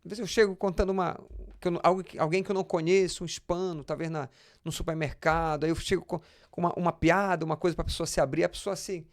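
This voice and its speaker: Brazilian man with a creaky, higher-pitched voice. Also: fairly even intonation, fast talker. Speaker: pastor, podcast guest. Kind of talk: conversational interview, personal anecdotes.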